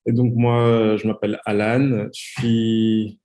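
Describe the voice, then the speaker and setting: A man's voice: on the low side, little pitch movement, slow pace, dark tone. A man in his twenties from Congo, a podcast guest talking hesitantly with many fillers. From Democratic Republic of the Congo. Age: 20s. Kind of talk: casual conversation.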